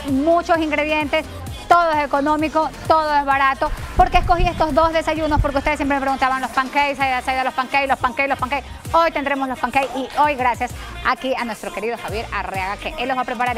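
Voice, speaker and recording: Chatty high-voiced Ecuadorian TV host. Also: female voice, fairly even intonation, a little fast, a bit bright. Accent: Ecuadorian Spanish. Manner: chatty and teasing, exclaims. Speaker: fitness cooking host. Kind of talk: lively TV cooking chat. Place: TV studio kitchen set. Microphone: lapel. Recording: TV studio with music bed.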